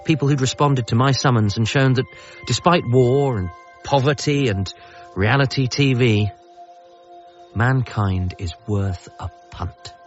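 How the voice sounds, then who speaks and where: A wry, earnest narrator played by a man tells his own story in a radio drama. He sounds conversational, at a middling pitch, slightly muffled with clear music behind. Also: measured pace, lightly acted. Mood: wry, reflective.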